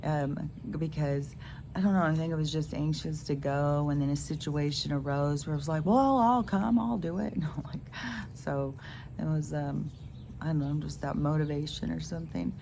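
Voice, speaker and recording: Low gravelly stuffed-up woman outdoors. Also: steady tone, moderate pace, mellow. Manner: meandering, many fillers and restarts. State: stuffed-up nose. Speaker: spiritual vlogger. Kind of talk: rambling casual monologue. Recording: noisy outdoor phone recording.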